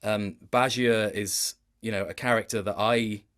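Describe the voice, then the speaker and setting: A man with a fairly low voice talks casually as a guest in an interview. He is a voice actor, speaking in an informal, conversational way. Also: fairly even intonation, measured pace, fairly bright tone, clean tone. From Wales.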